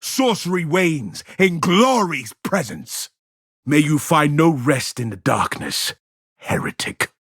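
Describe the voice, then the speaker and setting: Gravelly, grandiose space-marine warrior. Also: male voice, a little high, sing-song, takes it slowly, fairly bright tone, no room sound, studio quality, exaggerated voice. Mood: scornful. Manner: pompous, grandiose declarations. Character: zealous armored male space-marine warrior, stern and righteous. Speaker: video game character. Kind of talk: dramatic video game battle barks.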